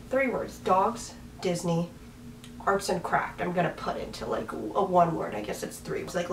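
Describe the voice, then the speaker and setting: A woman's voice: medium pitch, slight background noise. A young woman in her twenties from Washington State, chatting casually to the camera in her bedroom and laughing at herself as she rambles. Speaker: vlogger. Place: small bedroom, indoors, on a bed with a dog. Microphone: camera mic from a few feet away. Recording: roomy, slightly distant camera audio.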